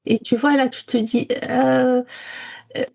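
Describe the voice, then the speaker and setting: A woman's voice: high-pitched, level tone, slow pace, creaky, mellow. A woman from Morocco talking casually and reflectively as a podcast co-host, searching for her words and mixing in English words. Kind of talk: casual reflective conversation, personal storytelling.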